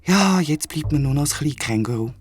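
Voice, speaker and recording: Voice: mid-range voice, moderate pace, faint music behind, natural voice. A storyteller voicing an eager, naive, forgetful young male platypus with a Swiss German accent in a children's audio story. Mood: puzzled, dejected. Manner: excitable, naive, questioning.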